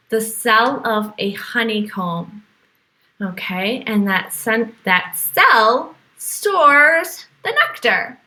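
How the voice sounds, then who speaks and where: High, bright-voiced woman teaching young children. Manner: animated, exclaiming and questioning as if to young children. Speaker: teacher reading to a virtual class. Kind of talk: children's read-aloud with teaching asides.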